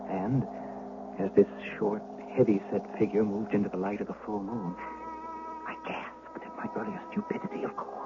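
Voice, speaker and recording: An actor playing a humble, fearful shepherd who tells his tale with dramatic suspense in an old-time radio drama. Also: lowish voice. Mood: fearful, agitated. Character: fearful humble shepherd recounting what he witnessed. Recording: hissy, muffled old radio broadcast.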